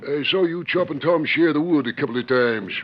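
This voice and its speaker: A man's voice: fairly high pitch, fairly quick, natural voice. An actor playing a stern, fair-minded older ranch owner and father in a 1940s radio western, speaking firmly and plainly. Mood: stern, fatherly. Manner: firm, commanding, plain-spoken. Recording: muffled, noisy old 1940s radio recording.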